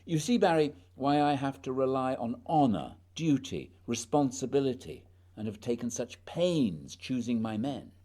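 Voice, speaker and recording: An actor playing a dutiful, reserved male polar expedition leader, measured, grave and formal, in a radio play. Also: mid-range voice, lively intonation, mellow, lightly acted. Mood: grave, resolute.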